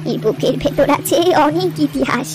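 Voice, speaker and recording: A woman playing a wistful, gentle old village grandmother who tells river lore with poetic, reflective pauses in a cartoon story. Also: high-pitched, animated, noisy background. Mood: tender, reflective. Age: older.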